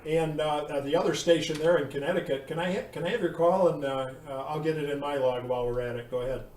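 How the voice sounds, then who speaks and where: A man chatting casually as a radio operator, speaking in a halting, polite, informal way. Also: a little high, steady tone, darkish tone. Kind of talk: casual ham radio chat. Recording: roomy, distant mic, faint noise.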